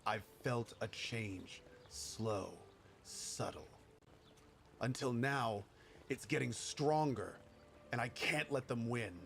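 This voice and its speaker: A man playing a gruff, drunken veteran warrior, haunted but eager to fight, in a video game. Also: medium pitch, slow, a bit bright, slight background noise. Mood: intense pleading. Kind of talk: dramatic video game dialogue.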